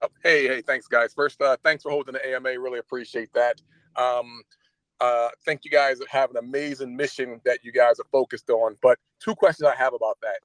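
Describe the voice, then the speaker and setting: A man's voice: mid-range voice, fairly even intonation, brisk, fairly bright tone, no room sound, poor audio. A man in the audience of a group call asking a friendly, hesitant question with ums.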